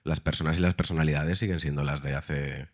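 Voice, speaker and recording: A man's voice: a bass voice, fairly even intonation, fairly quick. A male actor speaking on a live panel, thoughtful and restarting with repeated words. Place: festival press event room.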